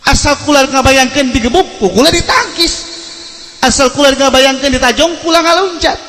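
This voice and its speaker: A man with a Sundanese accent preaching as a storyteller, humorous and theatrical, acting out dialogue and swinging between hushed and loud delivery. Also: very high-pitched, moderate pace, slight background noise. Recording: echoey hall with long reverb.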